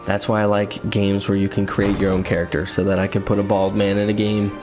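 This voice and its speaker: A man's voice: lowish voice, completely flat delivery, fairly quick. A man casually commenting while playing a video game, joking and chatty, with game music behind him. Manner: joking, self-mocking, chatty. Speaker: streamer. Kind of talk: casual let's-play commentary.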